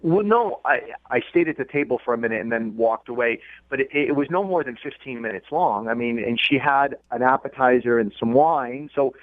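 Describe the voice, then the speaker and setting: A male caller on a thin, narrow phone line, medium in pitch. He talks casually and explains himself with a defensive, hedging manner. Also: a little fast, hardly any pauses, no echo. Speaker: radio call-in guest. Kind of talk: casual phone conversation, recounting. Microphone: telephone.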